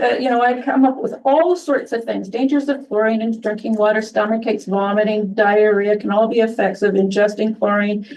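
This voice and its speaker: A soft-spoken woman addressing a town council during public comment, halting with many restarts and fillers. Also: a little high, distant mic, dull audio.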